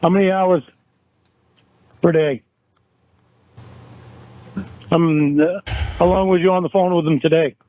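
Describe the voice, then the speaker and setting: A man's voice: fairly high pitch, fairly even intonation, measured pace, long pauses. Accusing man on a tinny phone line. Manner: repetitive, accusing, stumbling. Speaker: caller in a recorded phone argument. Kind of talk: heated phone argument.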